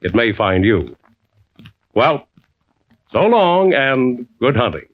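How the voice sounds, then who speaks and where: An actor playing a genial, folksy adventurer who hosts and narrates a 1940s radio drama. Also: male voice, mid-range voice, big pitch swings, slow, heavy background noise. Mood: genial, measured. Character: adventurer storyteller, genial and folksy, addressing friends. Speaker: host narrator. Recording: muffled old radio recording.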